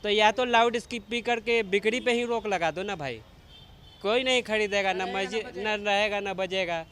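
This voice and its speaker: A man with a high, forceful voice, interviewed outdoors with faint street noise behind him. He argues his opinion point by point. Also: moderate pace. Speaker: interviewed member of the public.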